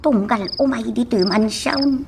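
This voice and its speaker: An actress with an Ilocano accent playing an uneasy, secretive house servant in a radio drama, halting and evasive. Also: female voice, a little high, vocal fry, distant mic, background noise. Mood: uneasy, guarded. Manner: hesitant, slow, halting.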